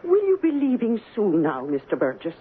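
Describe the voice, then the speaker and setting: An actress playing a superstitious, blunt Scottish housekeeper with an ominous, dialect-laden manner in a radio drama. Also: female voice, mid-range voice, huge pitch swings, moderate pace, breathy edge. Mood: uneasy, probing. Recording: muffled, noisy 1970s radio broadcast.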